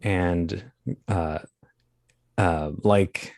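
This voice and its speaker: Very deep-voiced man in his thirties. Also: fairly even intonation, a little mellow, no room sound, clean studio sound. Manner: halting, self-deprecating, wry. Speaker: guest, voice actor and comedian. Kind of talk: casual interview, anecdotal storytelling.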